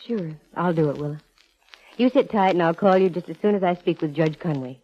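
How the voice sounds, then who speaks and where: A woman's voice: lowish voice, fairly quick, lightly acted. An actress playing a wry, self-assured female private eye in breezy 1940s radio drama style. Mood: kindly, firm. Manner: breezy, wisecracking, worldly. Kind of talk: 1940s radio acting, hard-boiled first-person narration. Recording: muffled vintage radio recording.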